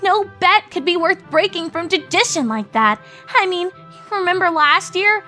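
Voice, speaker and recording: Prim nerdy cartoon unicorn girl, earnest n exasperated, high n really animated. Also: moderate pace, faint music behind, full character voice. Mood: shocked, earnest. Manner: prim, protesting. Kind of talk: fan fiction character reading.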